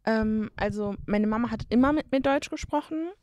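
A woman's voice: fairly high pitch, normal speed, a little mellow, no echo. A young woman co-hosting a podcast, talking in a casual way with lots of fillers and gestures. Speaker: podcast co-host. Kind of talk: casual chat.

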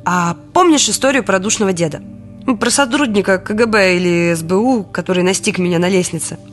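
An actress voices an ironic, easily indignant woman confiding everyday stories in a documentary audio drama. Her voice sits at a medium female pitch and talks conversationally. Soft music plays behind her. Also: a little fast, lightly acted. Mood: wry annoyance. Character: sardonic, indignant Kyiv woman recounting wartime everyday life. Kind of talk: documentary audio play monologue.

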